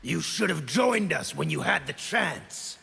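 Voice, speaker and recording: An actor playing a brutal, proud outlaw warrior in a video game, with a gravelly, raspy voice and a menacing, taunting manner. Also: male voice, fairly high pitch, sing-song, a little slow, bright, forceful tone, heavily acted. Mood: menacing, defiant. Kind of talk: video game villain acting. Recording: reverberant game arena hall.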